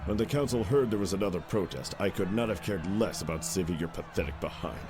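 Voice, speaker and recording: A stern, gruff soldier in an audiobook, acted out by a male narrator, speaks in a low register. He is blunt and commanding, a grudgingly respectful rival, with music playing underneath. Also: quick pace, natural voice. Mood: brusque, scornful. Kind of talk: audiobook character dialogue.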